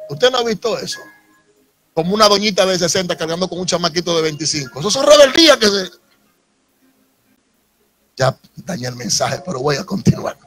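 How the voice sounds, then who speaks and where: A man's voice: high voice, expressive, brisk, long pauses. A man in his thirties with a Dominican accent, preaching a sermon to a congregation on a handheld mic. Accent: Dominican Spanish. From Dominican Republic. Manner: preaching with rising emphasis and audience asides. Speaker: pastor. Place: church sanctuary with congregation. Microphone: handheld microphone. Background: soft instrumental music.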